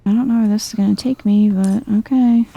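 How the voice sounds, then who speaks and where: A soft-spoken woman giving chill ASMR video game commentary, musing to herself as she plays. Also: mid-range voice, steady tone, fairly quick, creaky.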